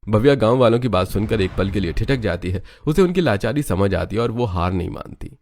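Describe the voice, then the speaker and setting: A man with a fairly low voice reads scripted story narration. There is faint noise behind his storytelling. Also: expressive, a little fast, darkish tone.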